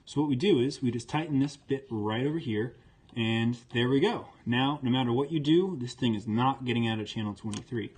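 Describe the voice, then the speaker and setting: A man's voice: mid-range voice, brisk, darkish tone. Male presenter, mid-range voice, for an informal hands-on demo; plainspoken, step-by-step delivery. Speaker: presenter demonstrating vintage military radio equipment.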